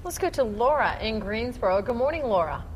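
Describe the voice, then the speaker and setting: A woman hosting a radio call-in show, briskly introducing callers and moving things along. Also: fairly high pitch, sing-song. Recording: clearly noisy background.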